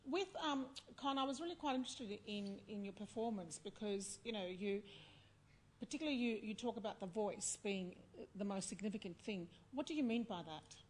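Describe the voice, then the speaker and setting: A woman's voice: mid-range voice, a little fast, a little mellow, poor audio. A woman chairing a festival panel, posing questions and musing aloud in a curious, chatty way with frequent fillers. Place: festival venue before an audience.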